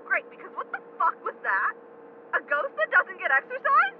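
A woman's voice: very high-pitched, wildly swooping pitch, moderate pace, exaggerated voice. A woman acting a brash, foul-mouthed, cocky ghost hunter in an audio drama, blunt and sarcastic. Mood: exasperated, sarcastic. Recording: muffled, loud road and ambience noise.

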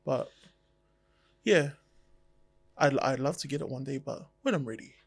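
A man's voice: medium pitch, expressive, a little slow, creaky, breathy edge, dry recording. A man, a tattoo artist whose first language is Tongan, talking in an easygoing, reflective way with jokey asides on a casual podcast.

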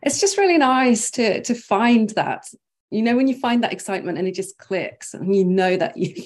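A woman from Norway, a brand strategist, guesting on an interview over a video call, chatty and quick to laugh. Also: on the high side, expressive, a little mellow. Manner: chatty, laughs often, thinks aloud with fillers. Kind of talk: casual interview chat.

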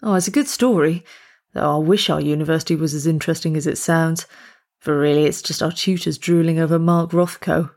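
A woman's voice: low voice, fairly even intonation, fairly quick, darkish tone. A woman voicing a casual, curious young female university student in storybook dialogue, offhand and musing. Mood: wry.

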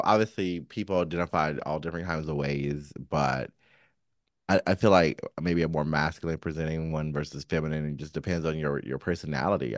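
A man with a very deep voice talks casually as a podcast co-host. He is frank and sassy, speaking openly about personal matters. The sound is dry, with hardly any room around it. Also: dry recording. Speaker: counselor. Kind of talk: casual chat, candid and personal.

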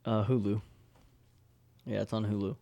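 A man's voice: lowish voice, little pitch movement, moderate pace, gravelly, warm tone, no echo, highs cut off. A man co-hosting a podcast, chatting casually in a laid-back, joking way. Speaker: podcast co-host. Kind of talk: casual chat.

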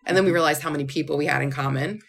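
A woman's voice: low voice, very fast, creaky. A woman, hosting a chatty podcast and laughing often. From Northeast Philadelphia. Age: 30s. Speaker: podcast host. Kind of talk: casual chat.

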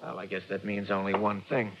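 An American actor playing a blunt, suspicious police officer in a 1960s radio drama. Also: male voice, lowish voice, flat delivery, brisk, close to an everyday voice. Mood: grim, accusing. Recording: muffled old radio recording, noisy clicks.

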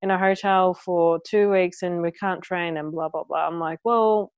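A woman co-hosting a podcast, chatting bluntly and swearing without a second thought. Her voice sits on the low side for a woman. The recording is dry and close. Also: fairly even intonation, moderate pace, clean tone, no room sound. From Australia. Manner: blunt, swears freely, laughs easily. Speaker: podcast co-host. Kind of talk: casual chat.

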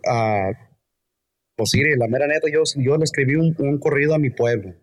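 Man from California, guest musician, hesitant, halting, soft-spoken, mid pitch, dry mic. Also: dry recording. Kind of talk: casual interview.

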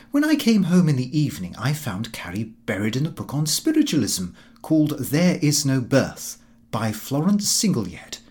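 A man reading a comic diary novel aloud as scripted narration, in a formal, fussy first-person manner. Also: medium pitch. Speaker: audiobook narrator.

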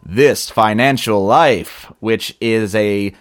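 A man's voice: fairly low pitch, slow, dry recording, clean studio sound. A man hosting a podcast, joking and teasing his guest while asking him questions. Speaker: podcast host. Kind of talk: casual podcast chat.

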